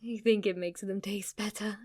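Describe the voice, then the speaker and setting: A woman's voice: mid-range voice, fairly even intonation, normal speed, darkish tone, lightly acted. A woman playing a smug, clingy, lovestruck witch in an ASMR roleplay, teasing and coy as she speaks to the listener. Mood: playful, eager. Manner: teasing, coy, overconfident, with giggles and asides.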